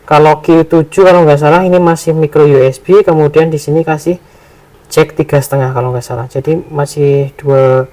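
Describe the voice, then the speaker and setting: Casual man, slightly high-pitched voice. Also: steady tone, brisk, darkish tone, rough recording. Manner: informal, conversational, thinking aloud as he goes. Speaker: product reviewer and vlogger. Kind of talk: casual unboxing and tutorial talk.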